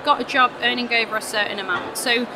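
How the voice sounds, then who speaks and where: A woman's voice: fairly high pitch, fast talker. Young UK woman, enthusiastic and reassuring, over background noise. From United Kingdom. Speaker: university representative. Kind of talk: interview answers.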